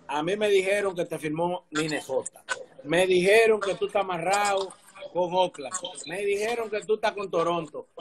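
Dominican guy interviewing on a video call, kinda high voice, slangy and informal. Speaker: interviewer, show host. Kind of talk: casual sports interview. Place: indoor room, remote video call. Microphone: video call, device at arm's length.

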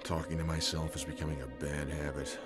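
Deep, gruff police veteran. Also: male voice, little pitch movement, brisk. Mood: uneasy, evasive. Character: burly, troubled male special-police veteran hiding a secret. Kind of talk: video game cutscene acting. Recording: music behind the voice.

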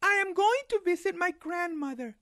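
A man's voice: extremely high pitch, lively intonation, moderate pace, bright, forceful tone, dry recording, clean studio sound, pitched above the performer's own voice, heavily acted. A man voicing a polite, wary little girl from a storybook, in a careful sing-song manner.

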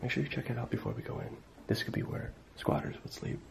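A man's voice: medium pitch, fairly even intonation, fast, gravelly. A young man, chatting casually and cautiously as he explores a building on camera, often asking questions. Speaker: urban explorer filming a video. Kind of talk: casual hushed exploring chat. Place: indoors, abandoned school building at night. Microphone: handheld camera mic. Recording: noisy handheld camera audio, clicks.

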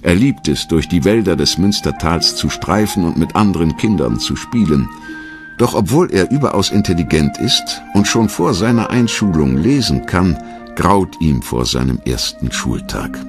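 A man narrating a scripted documentary in a measured, storytelling manner. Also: deep voice, very animated, over music.

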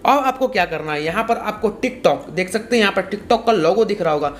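A man's voice: sits high, animated, fairly quick, a little hiss or hum. A young man giving a casual, repetitive step-by-step tutorial. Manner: repetitive, explanatory. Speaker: tutorial host.

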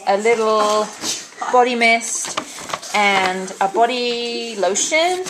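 A woman's voice: fairly high pitch, slow. Chatty woman listing a shopping haul. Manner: chatty, lists items and prices. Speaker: vlogger showing a shopping haul. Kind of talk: casual haul vlog. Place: home, indoors. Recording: noisy home video with bag crinkling. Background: crinkling bags and clicks.

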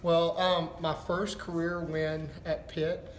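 A middle-aged man, a basketball head coach, answering postgame press questions in a halting, thinking-aloud way. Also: fairly high pitch, level tone. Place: indoors before a backdrop, a step from camera. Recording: echoey room with background noise.

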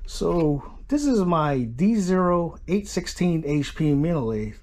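Higher-voiced man giving a casual DIY tutorial. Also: very animated, takes it slowly, a little mellow. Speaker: host of a DIY machining channel. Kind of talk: casual hands-on tutorial narration. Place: home workshop.

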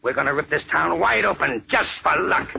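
A man's voice: fairly high pitch, expressive, moderate pace, bright, forceful tone, exaggerated voice. A man playing a ruthless gangster boss in a 1940s radio drama, tough and threatening. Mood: menacing. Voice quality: gravelly, tough. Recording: noisy old radio recording.

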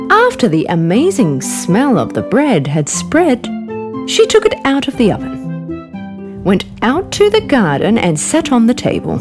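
A woman narrating a scripted children's bedtime story as the storyteller. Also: a little high. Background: background music.

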